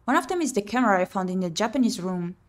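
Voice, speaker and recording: A woman streaming a visual novel playthrough, reading the text aloud and thinking out loud as she plays. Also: medium pitch, expressive, fast. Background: game music.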